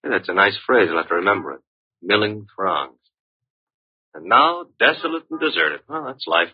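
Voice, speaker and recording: Wisecracking gumshoe, American accent, lively swooping intonation, ironic banter, muffled vintage radio recording. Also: male voice, on the low side, breathy edge. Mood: musing, wry. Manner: breezy, ironic, quick-witted banter. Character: flippant, wisecracking, flirtatious male private detective's assistant. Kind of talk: 1940s-50s American radio drama acting.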